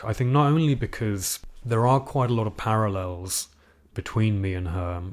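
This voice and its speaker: Brief: young man, very low voice, British accent, reflective guest, hesitant with fillers. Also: darkish tone. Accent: British English. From Iceland. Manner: thoughtful, halting, many fillers and restarts. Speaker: guest, composer. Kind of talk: reflective interview conversation.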